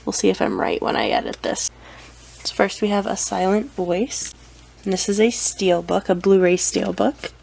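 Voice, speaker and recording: A woman's voice: mid-range voice, lively intonation, normal speed, gravelly, a little mellow, slight background noise. A young woman with a cold, chatting casually as she shows her anime collection, with frequent ums and self-corrections. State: has a cold. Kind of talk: casual show-and-tell vlog.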